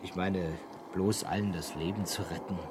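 A man's voice: sits low, steady tone, moderate pace, very noisy, close to an everyday voice. A man playing a modest, flustered Earthman in a radio drama, hesitant and forever correcting himself. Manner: stammering, hedging, self-correcting.

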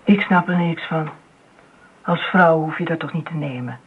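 Husky, breathy gossiping neighbour woman. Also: low-pitched, moderate pace. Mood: indignant. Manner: gossipy, hushed, indignant. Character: indignant gossiping neighbour woman, scandalised and scornful. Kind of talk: radio play acting. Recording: muffled narrowband old radio play recording.

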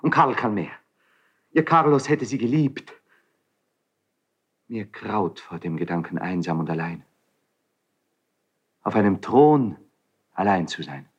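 Impassioned young prince, wildly expressive. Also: male voice, on the low side, takes it slowly, long pauses, slightly rough, dull audio, heavily acted. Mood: urgent, impassioned. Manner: impassioned, declamatory. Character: ardent, hot-blooded young prince, pleading and proud. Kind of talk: classical German stage verse radio drama.

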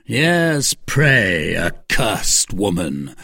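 A narrator voicing a vengeful, unforgiving baron in an audiobook novel, with a scornful and forceful manner. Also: low-pitched, sing-song, extremely slow, creaky, no echo, clean studio sound, heavily acted. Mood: scornful anger.

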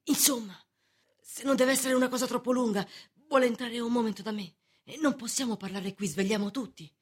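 Brusque, changeable young lodger. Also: female voice, fairly high pitch, fairly quick, breathy edge. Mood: weary, impatient. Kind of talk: theatrical radio drama acting.

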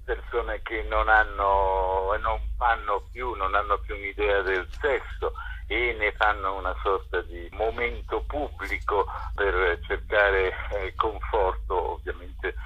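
A man answering interview questions as a festival curator, unscripted and reflective, often pausing to search for words. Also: fairly low pitch, fairly even intonation, breathy edge. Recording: dull, lo-fi sound.

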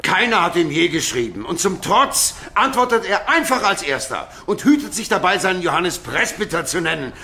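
A forceful, high-pitched aging emperor in a radio drama. He is imperious and scornful, with wide swings of pitch and a vehement bite. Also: very animated, moderate pace, few pauses, slight background noise, full character voice. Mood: scornful fury. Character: wrathful, commanding aging medieval emperor, male. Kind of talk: German radio play acting.

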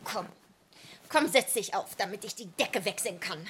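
A woman's voice: medium pitch, expressive, normal speed, a bit bright, slight background noise, natural voice. An actress plays a bitter daughter worn down by caring for her mother. She is intense and brooding, often half-whispered. It is radio drama, full of inner torment. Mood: bitter, reproachful. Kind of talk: German radio drama acting.

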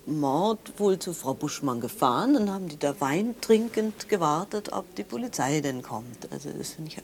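An actress playing an opinionated sister in a naturalistic audio drama, talking chattily with halting restarts and tag questions. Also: female voice, deep voice, expressive, darkish tone, a little hiss or hum. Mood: accusing, agitated. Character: animated, opinionated sister of a wealthy man, accusing.

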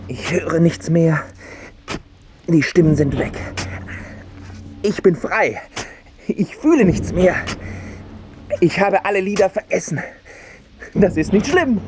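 An anxious, self-doubting washed-up singer. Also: male voice, sits high, expressive, takes it slowly, long pauses, noisy background, heavily acted. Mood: agitated. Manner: brooding, needy, self-questioning. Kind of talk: stage-like radio drama acting.

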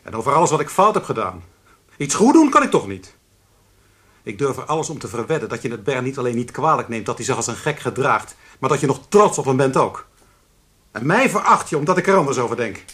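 A reproachful grown son in a radio drama. His husky voice moves in lively swings of pitch. He speaks with a sardonic, accusing edge. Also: brisk. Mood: bitter, accusing. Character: bitter, reproachful adult son who feels slighted. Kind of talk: radio play acting.

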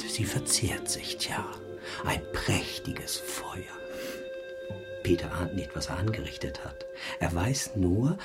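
Low, theatrical, sly hotel waiter. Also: male voice, heavily acted. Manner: insinuating, theatrical, with whispery asides. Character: sly, philosophising hotel floor waiter who spies on guests. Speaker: actor in a radio drama. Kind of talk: theatrical radio drama acting.

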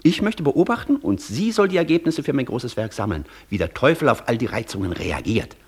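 An actor playing an intense, persuasive professor in a German radio play, declaiming and lecturing insistently with a husky voice. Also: male voice, mid-range voice, big pitch swings, fairly quick. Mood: smug, persuasive. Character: intense, persuasive male professor tempting the Devil. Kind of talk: German radio play acting, long rhetorical speeches.

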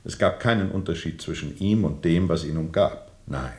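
An actor playing a haunted steamer captain who tells of a dark journey in a brooding, insistent radio play monologue. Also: male voice, low-pitched, normal speed, close to an everyday voice. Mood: bitter, intense. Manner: brooding, insistent, dramatic repetition.

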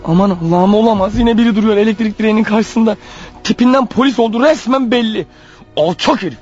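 A man's voice: high voice, quick pace, darkish tone, husky, soft background music. A man acting in a radio play as a panicky, paranoid killer husband on the run, stammering and muttering anxiously to himself. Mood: panicked. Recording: dull lo-fi radio drama recording.

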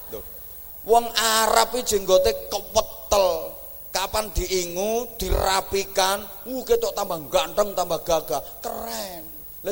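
A man with an East Javanese accent, giving a humorous religious sermon in an animated, teasing way. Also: sits high, gravelly. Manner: joking, animated, teasing the audience. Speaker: preacher. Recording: echoey hall.

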